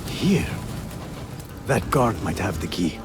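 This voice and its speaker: Calm, terse young assassin for a video game, low register, music underneath. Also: male voice, slow, heavily acted. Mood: watchful, musing. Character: calm, watchful young male assassin investigating. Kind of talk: video game voice acting, muttered observations.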